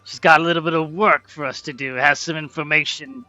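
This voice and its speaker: A man's voice: fairly high pitch, steady tone, fairly quick, sharp tone, no room sound, dull audio, soft background music. A man narrating as game master of a tabletop game, laid-back and chatty with frequent laughs.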